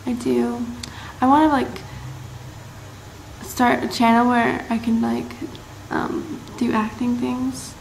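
A teenage girl from Massachusetts chatting to her webcam in a bright room, rambling and bubbly with lots of fillers. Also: a little high, flat delivery, slow, vocal fry. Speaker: vlogger. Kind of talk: casual chat to camera. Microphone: built-in camera mic, close webcam framing. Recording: roomy echo, faint background hiss.

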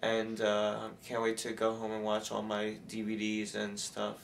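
A man's voice: on the low side, monotone, measured pace, gravelly, recorded from a distance, slight background noise. A young man vlogging casually with a handheld camera as he walks through a hotel and talks in his room, rambling with fillers. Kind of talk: casual handheld vlog narration. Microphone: handheld camera mic.

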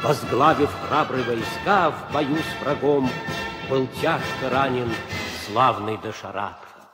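A man narrating epic verse with solemn, measured declamation in an old audio drama. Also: mid-range voice. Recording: old recording with loud music.

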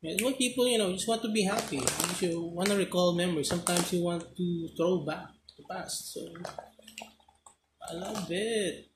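A casual reviewer in their thirties speaks with a Filipino accent as a native Filipino speaker. The talk rambles and circles back on phrases at a middling pitch. The recording is echoey, with clear background noise. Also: normal speed. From Philippines. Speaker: snack reviewer. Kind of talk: casual chat, mixing Tagalog and English. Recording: roomy echo, noisy background, clicks.

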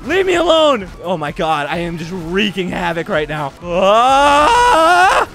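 High-pitched, frantic young man gaming. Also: wildly swooping pitch. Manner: frantic, repeats phrases rapidly. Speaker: gaming video creator playing a role-play game. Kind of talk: excitable gameplay chat and in-game role-play banter. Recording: music behind the voice.

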